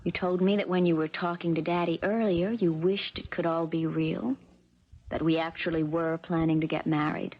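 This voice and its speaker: A husky young heiress in a muffled 1970s radio drama. She is spoiled and scheming, coaxing with cool self-assurance in a fairly low voice. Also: flat delivery, moderate pace. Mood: cold, coaxing. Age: young adult. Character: spoiled, scheming rich young daughter, coldly persuasive. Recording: muffled vintage radio broadcast recording.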